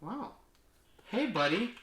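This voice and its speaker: A man casually unboxing a gadget on his vlog, often exclaiming with excitement. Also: high voice, slow. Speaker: mobile mechanic YouTuber. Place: indoors at home. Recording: echoey room with faint noise.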